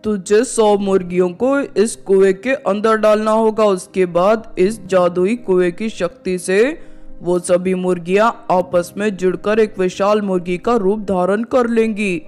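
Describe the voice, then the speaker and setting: A wicked, scheming sorcerer from a cartoon horror story, acted by a woman. He instructs slowly in a smooth, high voice for a man. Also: steady tone, a little mellow, soft background music, lightly acted. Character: wicked male sorcerer who helps witches.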